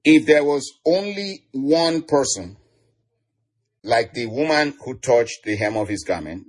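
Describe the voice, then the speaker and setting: Husky Nigerian preacher, chuckling often. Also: male voice, medium pitch, a little mellow. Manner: chuckles often, repeats declarations. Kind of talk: online sermon.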